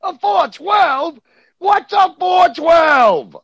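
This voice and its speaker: Brash dragon, gravelly, drawn-out hammy delivery, comic radio play. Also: male voice, extremely high pitch, expressive, fairly bright tone, no room sound, heavily acted. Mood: indignant. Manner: hammy, overacted. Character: brash, blustering fire-breathing dragon, wisecracking crook. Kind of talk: comic parody radio drama.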